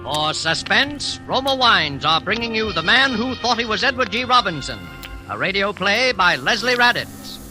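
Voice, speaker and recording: A man's voice: high voice, sing-song, normal speed, low-quality recording. A man announcing a 1940s radio thriller in a theatrical, portentous manner. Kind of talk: dramatic 1940s radio introduction. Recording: old radio broadcast over music.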